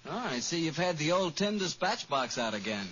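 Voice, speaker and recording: A man's voice: on the high side, very animated, moderate pace, a bit bright. A polished man works as a 1940s radio announcer. His scripted, salesmanlike delivery rises and falls with plenty of animation. The sound is dull with light background noise. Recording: muffled, noisy old radio recording.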